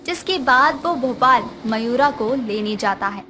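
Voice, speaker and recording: High-pitched woman narrating a story. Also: expressive, measured pace, sharp tone, faint music behind. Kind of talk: scripted narration of a moral story.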